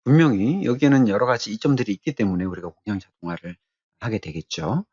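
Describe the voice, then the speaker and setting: A man giving an explanatory technical lecture as an instructor, speaking haltingly and repeating his key phrases. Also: on the low side, darkish tone, breathy edge, dry recording, lo-fi sound.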